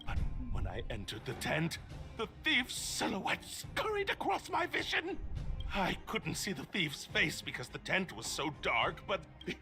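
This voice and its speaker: An actor playing a nervous young patrol guard who stammers and gets frightened easily. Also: male voice, high-pitched, wildly swooping pitch, measured pace, bright, forceful tone, faint music behind, heavily acted. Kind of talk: game voice acting.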